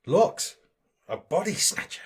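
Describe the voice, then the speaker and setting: A man's voice: measured pace, lightly acted. A man playing a vain, snobbish amateur actor in a comic audio drama, with affected, gushing, pompous delivery. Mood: wary.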